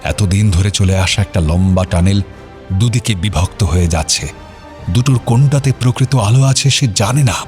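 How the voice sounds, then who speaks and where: A man's voice: lowish voice, normal speed, darkish tone, soft background music. A man narrating an audio story in a solemn, literary and dramatic way.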